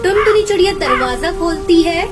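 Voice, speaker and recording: Very high-pitched woman storyteller. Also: expressive, normal speed. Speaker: narrator. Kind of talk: scripted children's story narration. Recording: music behind the voice.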